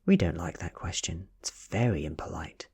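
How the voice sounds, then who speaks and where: British man reading as a cool, breathy vampire girl. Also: deep voice, expressive, normal speed, a little mellow, full character voice. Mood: teasing. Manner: cool, teasing, imperious. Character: cool, ancient female vampire in teenage guise.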